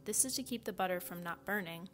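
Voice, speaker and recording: A woman's voice: on the low side, fast, fairly bright tone. Young woman giving instructions over music. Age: 20s. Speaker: cooking show presenter and instructor. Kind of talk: step-by-step instructional narration for children.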